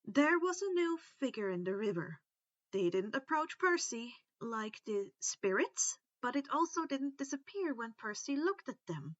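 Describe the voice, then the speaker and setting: A woman narrating a story in an expressive, animated audiobook style, stressing casual asides. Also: fairly high pitch, a little slow, dry recording, muffled recording. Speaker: reader of fan fiction for online listeners.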